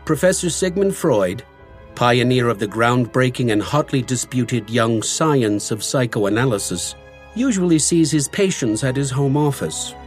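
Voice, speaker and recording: A man narrating an audio drama from a script in a measured, formal storytelling manner. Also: mid-range voice, lively intonation, soft background music.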